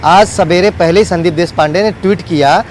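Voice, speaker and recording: A man's voice: sits high, normal speed, slight background noise. A man giving a wordy, unscripted live news report as a field reporter, often repeating set phrases.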